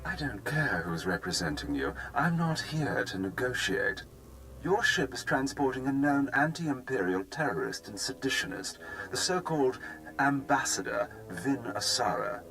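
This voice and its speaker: An actor playing a cold, haughty Imperial fleet commander, imperious and deliberate, in a sci-fi video game. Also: male voice, medium pitch, big pitch swings, a bit bright, poor audio. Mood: commanding. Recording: music behind the voice.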